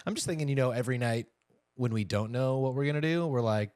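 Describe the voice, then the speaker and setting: A man's voice: medium pitch, fast talker, darkish tone, no echo. A man co-hosting a podcast in casual, joking conversation, often acting out little imagined remarks. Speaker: podcast co-host. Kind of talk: casual conversational chat with a partner.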